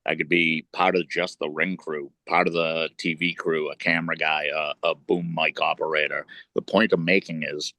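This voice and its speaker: Man, very low voice, middle-aged, chatty, dry close sound. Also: level tone, moderate pace, gravelly, hardly any pauses, pushed and bright, dry recording. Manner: talkative, swears, builds long points. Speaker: podcast co-host, wrestler. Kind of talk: casual chat.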